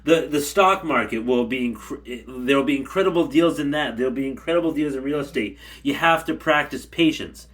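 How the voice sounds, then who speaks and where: A man's voice: medium pitch, normal speed, few pauses, a bit bright. A man ranting casually to his camera at home, rambling and blunt, a little stuffy from allergies. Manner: rambling, blunt, repeats himself. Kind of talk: casual rant. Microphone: camera mic.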